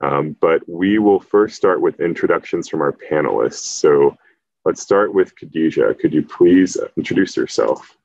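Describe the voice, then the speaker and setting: Very deep-voiced man moderating a webinar. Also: creaky, darkish tone, no room sound, muffled recording. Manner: measured, filler-heavy moderating. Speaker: panel moderator. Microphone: video call.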